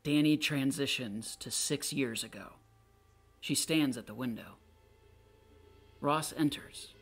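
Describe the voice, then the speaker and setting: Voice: medium pitch, slow, faint music behind. A narrator of unclear sex reading scripted stage directions.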